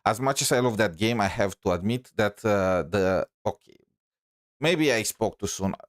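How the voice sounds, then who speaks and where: A man with a lowish voice streams games in a casual, rambling style. He hesitates often and sounds close and dry on the mic. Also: animated, normal speed, no room sound. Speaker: game streamer. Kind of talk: casual live gameplay commentary.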